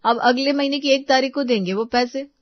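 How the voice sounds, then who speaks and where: A woman's voice: high-pitched, a little fast, no room sound, muffled recording, lightly acted. A woman voicing an exasperated, thrifty young housewife who explains and protests in a family moral story. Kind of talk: Hindi moral-story character dialogue.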